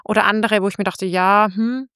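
A woman's voice: mid-range voice, fairly even intonation, a little fast, fairly bright tone, clear, resonant tone, dry recording, clean studio sound. A woman talking casually about literature as a podcast host.

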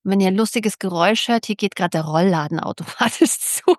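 A middle-aged woman with a medium-pitched voice talks as a podcast guest. She is candid and emphatic, repeating words to drive a point home. The sound is close and very dry. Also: fairly quick, no echo. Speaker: interviewed author. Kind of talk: podcast interview talk.

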